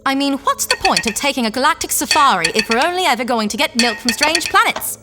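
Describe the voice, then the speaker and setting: Woman doing a plummy unflappable space lady, high and bright, echoey, some music. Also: big pitch swings, quick pace. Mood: breezy. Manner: plummy, breezy. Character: posh cheerful spacefaring wife, brisk and unflappable. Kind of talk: audio drama acting.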